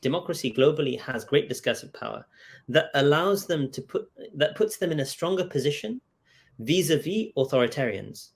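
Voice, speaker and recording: A man speaking as a scholar in an earnest intellectual debate, insistent, pausing mid-thought and often checking for agreement. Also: on the high side, expressive, vocal fry. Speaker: scholar and author in discussion. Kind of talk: earnest intellectual debate over video call. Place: video call.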